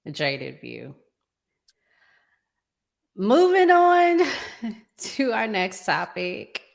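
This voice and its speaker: Slow-talking, animated American woman hosting. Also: medium pitch, gravelly. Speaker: podcast host. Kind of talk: casual discussion of relationship topics.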